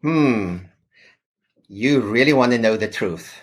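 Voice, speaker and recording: A man in his fifties, a podcast guest who talks thoughtfully and pauses on fillers. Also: medium pitch, expressive. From South Africa. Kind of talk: podcast interview.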